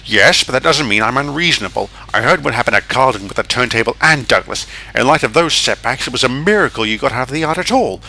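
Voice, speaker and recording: Stern middle-aged railway boss, guy doing the voice, firm and measured, a bit bright. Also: mid-range voice, lively intonation, a little hiss or hum, voice pushed up, poor audio.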